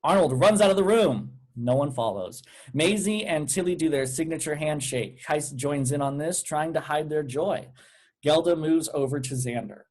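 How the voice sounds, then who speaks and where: A man's voice: on the high side, normal speed, a little mellow. A man reading out stage directions as scripted narration at a table read.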